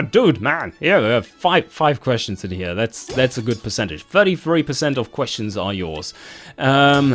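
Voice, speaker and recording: A man with a German accent answering viewer questions on his channel, speaking in a precise, carefully articulated and projecting way. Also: medium pitch. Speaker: YouTuber and game developer.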